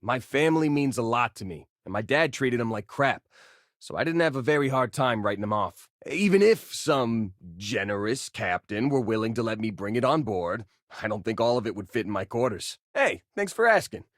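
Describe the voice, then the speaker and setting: A man's voice: medium pitch, animated, moderate pace, no room sound, clean studio sound, natural voice. A male actor playing an easygoing, joking miner and spaceship crewmate in a video game, talking in a casual, folksy way. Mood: frank, reflective. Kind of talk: video game companion voice lines.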